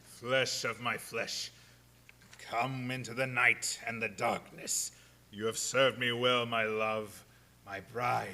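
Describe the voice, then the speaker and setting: An actor playing an undead vampire master in a radio horror drama, imperious and seductive, with a gravelly voice and slow, commanding incantations. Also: male voice, mid-range voice, level tone, sharp tone. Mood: seductive triumph.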